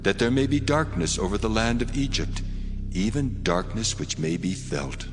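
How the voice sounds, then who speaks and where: God, very deep voice, solemn commands, long reverb, faint music behind. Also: male voice, very animated, moderate pace.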